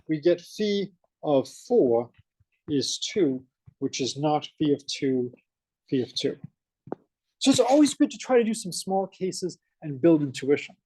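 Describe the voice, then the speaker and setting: A man's voice: on the high side, unhurried, husky, no echo, low-quality recording. Boston-accented man lecturing on math. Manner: energetic, asks the class questions. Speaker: math professor. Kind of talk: classroom lecture. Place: lecture classroom.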